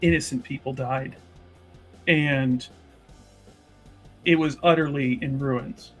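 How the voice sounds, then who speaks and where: A man voicing a composed, courteous but pointed noblewoman in a tabletop game, speaking in a halting, measured, diplomatic way. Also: mid-range voice, steady tone. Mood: grave. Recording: music behind the voice.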